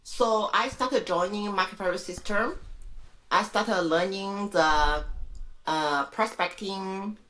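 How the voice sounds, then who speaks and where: A woman being interviewed on a podcast who speaks halting non-native English in simple words, with frequent restarts, sounding frank and sure of herself. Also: mid-range voice, a bit bright, low-quality recording. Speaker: guest, real estate agent.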